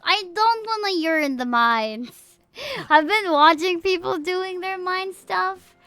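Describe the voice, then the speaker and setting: A woman's voice: high-pitched, bright, forceful tone, smooth. A young adult woman chatting casually to viewers on a livestream in a chatty, rambling way. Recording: music playing behind. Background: background music.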